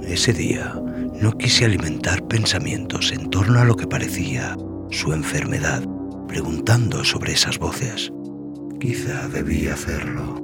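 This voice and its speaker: A man giving a grave, dramatic scripted horror narration, with phrases that often sink into a breathy hush. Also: extremely low pitch, lively intonation, unhurried. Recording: voice over loud music and rain.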